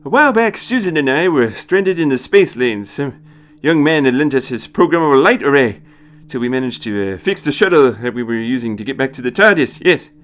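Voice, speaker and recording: A man voicing an elderly, eccentric time traveller in a storybook, excitable and hesitant by turns. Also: medium pitch, a little fast, hardly any pauses, voice pushed up, lightly acted. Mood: hesitant, reflective. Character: elderly eccentric male time-travelling Doctor, giggly and befuddled. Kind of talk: dramatized storybook reading.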